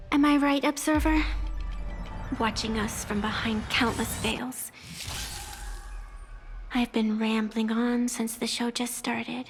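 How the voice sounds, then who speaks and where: A woman voicing an enigmatic, world-weary, teasing figure in an anime game monologue, musing theatrically with breathy moments. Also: on the high side. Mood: sly teasing.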